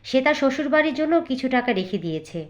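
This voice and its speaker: A woman's voice: high voice, sing-song, fast. A woman reading scripted narration for a cartoon moral story.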